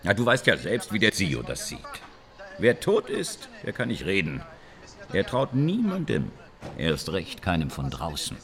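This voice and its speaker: Callous Sicilian mafia crony, very low voice, hugely varied intonation, conspiratorial, radio crime drama. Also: male voice, huge pitch swings, a little slow, a bit bright, long reverb tail, exaggerated voice. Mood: callous, confiding.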